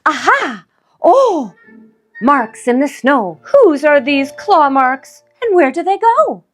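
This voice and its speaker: A woman's voice: sits high, very animated, slow pace, soft background music, voice pushed up, heavily acted. A woman voicing a curious, brave young monster child in a storybook, exclaiming and asking questions. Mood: excited.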